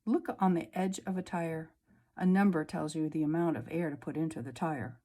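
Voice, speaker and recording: A woman teacher reading a children's science book aloud, slowly and clearly, at a table indoors. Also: sits low, dark tone. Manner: slow, clear storybook reading. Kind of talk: read-aloud lesson for children.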